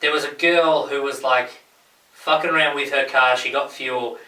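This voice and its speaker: Bright-voiced man, swearing bluntly. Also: mid-range voice, fairly even intonation, moderate pace. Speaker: podcast guest. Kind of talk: casual chat. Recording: echoey room, mic at a distance.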